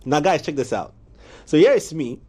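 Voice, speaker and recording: A man hosting a morning studio interview, telling stories in a lively way with laughs. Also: a little high, normal speed. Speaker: morning show host.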